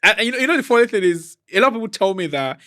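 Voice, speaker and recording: A man from Nigeria with a Nigerian accent, a real estate professional chatting fast and laughing often as a podcast guest. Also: sits high. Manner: fast-talking, laughs often, animated storytelling. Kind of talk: casual podcast chat.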